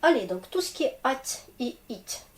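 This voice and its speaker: A woman with a Russian accent and a cold, teaching a language lesson, slow and patient as she spells out word endings. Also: sits high, very animated. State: has a cold.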